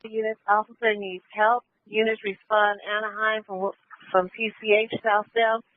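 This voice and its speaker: A woman's voice: medium pitch, little pitch movement, moderate pace, dry recording. A woman working as a police dispatcher, giving clipped, procedural calls over the radio and repeating instructions. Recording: band-limited tinny police radio.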